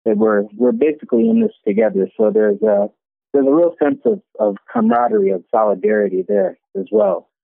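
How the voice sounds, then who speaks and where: A man answers an interviewer's questions about his business, speaking loosely and haltingly, often repeating words before going on. His voice sits high and swoops around a lot, with a heavy gravelly fry. The sound is dry and narrow, like a phone call. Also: big pitch swings, no room sound. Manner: hesitant, many restarts and fillers. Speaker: business owner being interviewed. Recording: tinny phone-like line, dry.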